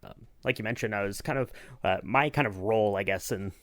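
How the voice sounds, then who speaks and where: A man's voice: lowish voice, fairly quick, a bit bright. A man co-hosting a podcast, chatting casually and rambling with lots of hedges. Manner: rambling, hedging with kind of and you know. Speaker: podcast host and sound designer. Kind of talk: casual behind-the-scenes chat.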